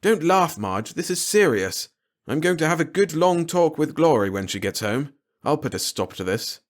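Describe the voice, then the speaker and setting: A man's voice: a little high, big pitch swings, moderate pace, pitched above the performer's own voice. A man voicing an exasperated, protective middle-aged father in a storybook, speaking with emphatic indignation.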